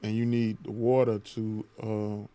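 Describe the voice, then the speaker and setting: A man's voice: on the low side, flat delivery, unhurried, dark tone. A man hosting a podcast, asking questions and talking in an easygoing, conversational way. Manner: easygoing, conversational, drawls casual phrases. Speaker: podcast host. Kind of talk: casual interview chat.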